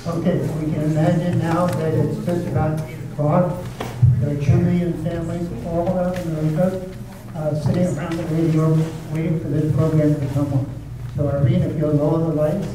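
A man's voice: a little high, little pitch movement, brisk. A man hosting a community readers theater, chatting with a live audience and often checking in with "okay?". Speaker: host introducing a readers theater show. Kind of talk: casual talk to a live audience. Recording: echoey room, distant mic, noisy.

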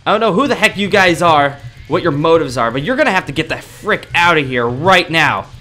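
Man playing a bright-voiced, exasperated young anime hero. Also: on the high side, lively intonation, brisk, faint music behind. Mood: cocky, combative. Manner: grumbling slangy monologue, talking to himself. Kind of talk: improvised video game roleplay.

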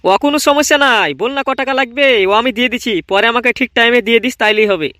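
A man's voice: very high-pitched, quick pace, sharp tone. A man voicing a bossy but obliging village boss in a comedy cartoon, talking colloquially. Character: easily swayed village boss, an adult man. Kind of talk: Bengali comedy cartoon voice acting.

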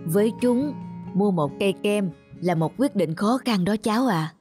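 A woman's voice: mid-range voice, expressive, moderate pace, a little mellow, close to an everyday voice. Woman voicing a patient ice cream man. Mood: earnest. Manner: patient, explanatory. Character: kindly middle-aged male ice cream seller, patient, respectful. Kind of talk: cartoon dubbing. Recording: music behind the voice.

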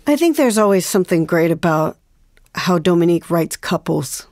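A woman's voice: on the low side, sing-song, normal speed, darkish tone, dry recording, studio quality. A woman talking reflectively as an interview guest, thoughtful and hesitant in her phrasing. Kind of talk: reflective conversation.

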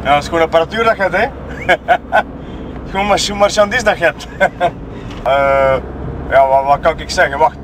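A man's voice: a little high, moderate pace, natural voice. An actor playing a jittery, jovial Flemish man in an audio drama, speaking informally and hesitantly. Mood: evasive, nervous. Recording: noisy outdoor street ambience with traffic.

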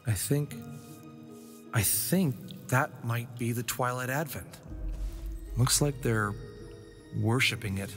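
A man's voice: medium pitch, slow pace, long pauses. A man playing a hesitant fox adventurer over music. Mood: curious, wary. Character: male fox adventurer, self-doubting, curious treasure hunter. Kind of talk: audio drama acting. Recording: music behind the voice.

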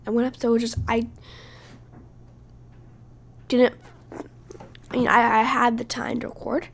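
A woman hosting her own podcast, speaking casually while hoarse from losing her voice, halting and often dropping to a breathy whisper. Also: a little high, flat delivery. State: hoarse, lost voice. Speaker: podcast host. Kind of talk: casual solo podcast talk.

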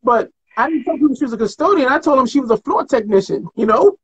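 A man's voice: extremely high pitch, expressive, quick pace, slightly rough, low-quality recording. A middle-aged man from Trinidad and Tobago, telling stories in a casual, slangy interview chat over a video call from home. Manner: laid-back storyteller, slangy, laughs often. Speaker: artist guest. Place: home room with painted walls. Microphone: video call webcam.

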